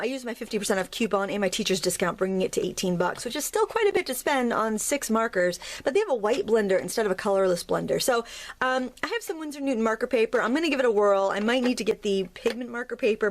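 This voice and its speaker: A hoarse woman in her thirties, chatting casually and rambling a little as she shows off craft supplies in her home studio. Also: medium pitch, a little hiss or hum. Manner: chatty, rambling, friendly asides. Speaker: craft video host. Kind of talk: casual haul chat.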